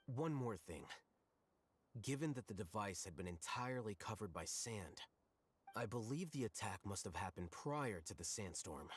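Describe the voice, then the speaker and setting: An actor playing a calm, analytical male scholar in a game, measured and deductive. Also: on the low side, slightly rough. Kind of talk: game voice acting.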